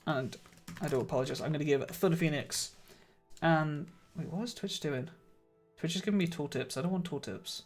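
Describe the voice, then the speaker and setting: A man streaming a game live, chatting casually with viewers and laughing often, his voice a little croaky. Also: fairly high pitch, fairly quick, soft background music. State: slightly croaky voice. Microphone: studio microphone.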